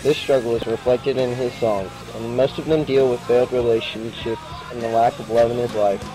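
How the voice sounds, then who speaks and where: A young man reads his scripted review in a flat, monotone way, with no conversational lift. His pitch sits in an ordinary middle range. Clear music plays beneath his voice throughout. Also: moderate pace, rough recording. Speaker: student podcast reviewer.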